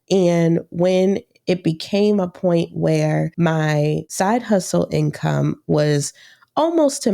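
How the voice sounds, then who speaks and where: A woman's voice: lowish voice, creaky, mellow. A woman podcast host and financial coach talking casually and encouragingly on her own, sounding nasal from a cold. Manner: chatty, encouraging, laughs between points. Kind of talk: casual solo podcast talk.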